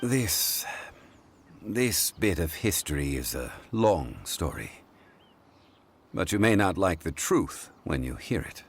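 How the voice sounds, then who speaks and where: An actor playing a calm, learned man of measured wisdom in a video game, speaking in a courteous, unhurried way. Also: low-pitched, slight background noise, full character voice. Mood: hesitant. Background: game music.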